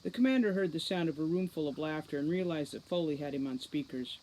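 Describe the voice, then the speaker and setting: A woman giving an expressive scripted narration of a story as an audiobook reader. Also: low-pitched, fast, slight background noise, rough recording.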